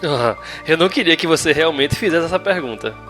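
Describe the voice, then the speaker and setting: A man's voice: fairly high pitch, expressive, a little fast, sharp tone, soft background music. A man playing a skeptical far-future official in a science fiction audio drama, with a Brazilian accent. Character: brisk, skeptical male far-future space official, two centuries old.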